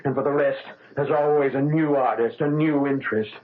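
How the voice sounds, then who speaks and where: An actor playing a tormented concert pianist, anguished, bitter and intense, in a 1970s radio drama. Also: male voice, medium pitch, steady tone, fairly quick, close to an everyday voice. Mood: pleading. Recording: tinny old radio broadcast with faint hiss.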